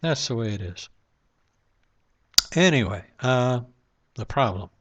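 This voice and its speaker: A man's voice: mid-range voice, animated, takes it slowly, a bit bright. A man in a casual, reflective monologue to camera at home at night, rambling with lots of fillers. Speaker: vlogger. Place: home room at night, lamp-lit.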